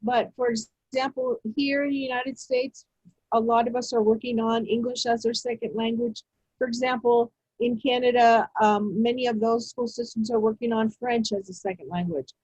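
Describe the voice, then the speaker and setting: A woman leads a teacher-training webinar in a measured, unhurried way, often pausing on fillers and restarting her sentences. Her voice sits a little high and has a husky edge. The sound is dry and close, with no room echo. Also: steady tone, a little mellow. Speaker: education presenter. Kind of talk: webinar presentation. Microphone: video call.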